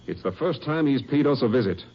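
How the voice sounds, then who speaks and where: An actor playing a grim, determined Texas Ranger captain in an old radio crime drama, stern and commanding. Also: male voice, mid-range voice, lively intonation, fairly quick, slightly rough. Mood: stern resolve. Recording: muffled, hissy old radio recording.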